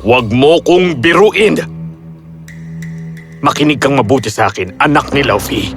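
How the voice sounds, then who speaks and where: A man playing a furious thunder god in a fairy tale, threatening with forceful, theatrical delivery. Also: mid-range voice, natural voice. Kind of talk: dramatized Filipino fairy tale narration. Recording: loud background music.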